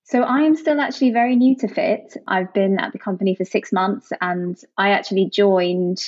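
An upbeat young woman from Jersey talks through her work as a guest, chatty and open, leaning on "actually" and "you know". Her voice sits in a middle pitch. The sound is dry and a little dull. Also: normal speed, a little mellow, no room sound. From Jersey, Channel Islands. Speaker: product manager, guest. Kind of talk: conversational interview answers.

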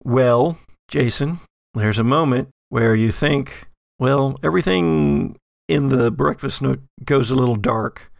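Creaky, unhurried male game master. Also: medium pitch, no room sound. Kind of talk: narrating a tabletop horror game.

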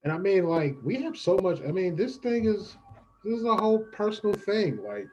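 A man's voice: high voice, very animated, normal speed, lo-fi sound, crowd noise. An American man in casual podcast banter, slangy and teasingly contrary. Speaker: podcast performer.

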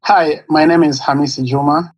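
A man in his thirties from Congo, a guest in an interview, telling his life story in halting with pauses as he searches for words. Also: on the high side, fairly even intonation, slightly rough, no room sound, highs cut off. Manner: halting, searching for words, non-native English phrasing. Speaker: guest, nonprofit founder.

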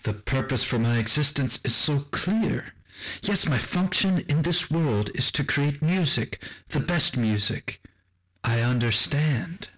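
A man's voice: fairly high pitch, expressive, unhurried, low-quality recording. A man voicing an earnest, wondering, newly sentient machine in a radio drama, with formal, stilted robotic phrasing. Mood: earnest resolve. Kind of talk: scripted radio drama acting.

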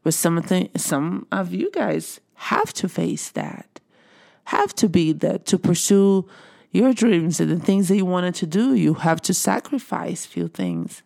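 A middle-aged Brazilian woman speaks English with her native Portuguese accent, in an earnest motivational talk to viewers that leans on repeated phrases. Her voice sits a little low and dark, with a gravelly fry, and she speaks slowly. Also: very animated. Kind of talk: encouraging monologue to viewers.

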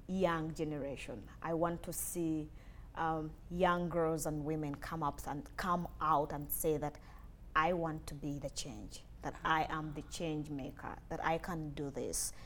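Low-voiced Ugandan woman, 20s, earnest, soft interview guest; faint background noise. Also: fairly even intonation, unhurried. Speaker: interview guest, lab technologist. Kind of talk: casual interview conversation.

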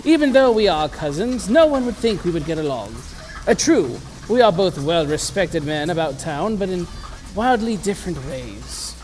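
A man as a high-voiced Victorian gentleman. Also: very animated, normal speed, poor audio. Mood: genial teasing. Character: affable, charming, sociable Victorian gentleman about town. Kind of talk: period audio drama dialogue.

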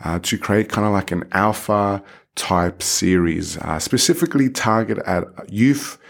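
A man hosting a podcast, relaxed and conversational, with many fillers as he asks questions. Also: fairly low pitch, a little slow, gravelly. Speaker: podcast host. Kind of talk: casual interview hosting.

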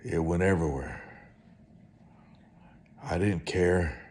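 A man preaching through personal storytelling, speaking in short, deliberate, emphatic phrases and repeating them for effect. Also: low voice, a little mellow. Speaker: preacher and author telling testimony. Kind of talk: storytelling sermon monologue.

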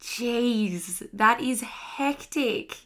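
An Australian woman hosting a health podcast, enthusiastic and gushing, her voice a bit croaky from an early start. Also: on the high side, very slow, fairly bright tone. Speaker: podcast host, health teacher. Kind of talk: upbeat podcast interview.

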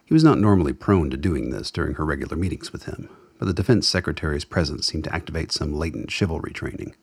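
A man's voice: a bass voice, expressive, fast, breathy edge. A man reading scripted literary narration as the narrator of a radio play.

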